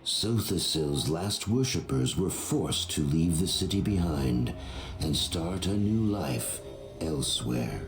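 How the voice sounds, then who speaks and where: Very deep, solemn fantasy narrator. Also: male voice, expressive, vocal fry, roomy sound, faint music behind, exaggerated voice. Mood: sombre. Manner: solemn, measured. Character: solemn male storyteller of heroic fantasy tales. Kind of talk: scripted video game narration.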